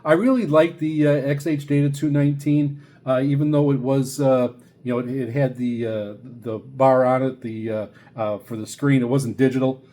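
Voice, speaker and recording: Older man, mid-pitched, relaxed mellow tone, rambling hobbyist reviewer, stumbling and repeating. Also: moderate pace. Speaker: radio reviewer presenting a video series. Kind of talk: unscripted casual product review.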